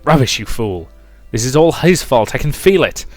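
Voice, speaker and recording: A man's voice: medium pitch, sing-song, moderate pace, dry recording, faint music behind, voice pushed up, close to an everyday voice. Man doing a scolding, domineering mother. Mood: scornful, urgent. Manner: forceful, scolding. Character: domineering, scornful, vengeful mother.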